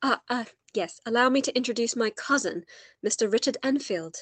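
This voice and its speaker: A woman's voice: a little high, lively intonation, brisk, fairly bright tone, breathy edge, no echo. A woman playing a genteel, earnest and worried lady in a radio play, speaking formally and a little hesitantly. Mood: polite.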